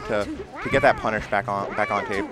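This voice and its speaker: Loud, excitable male esports commentator. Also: on the low side, fairly quick, over music. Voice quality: naturally loud voice. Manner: excitable, exclaims over big plays. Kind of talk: live play-by-play commentary. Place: tournament venue.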